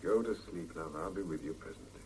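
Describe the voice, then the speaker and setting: A man's voice: low voice, fast talker, darkish tone, close to an everyday voice. An actor playing a bookish, put-upon young Soviet husband and father in a 1970s radio drama. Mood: soothing, tender. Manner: defensive, then soothing. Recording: noisy 1975 mono radio cassette copy.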